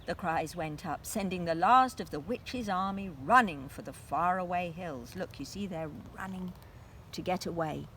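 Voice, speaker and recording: A woman narrating a children's story aloud in an expressive, dramatic style with deliberate phrasing. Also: lowish voice, slight background noise.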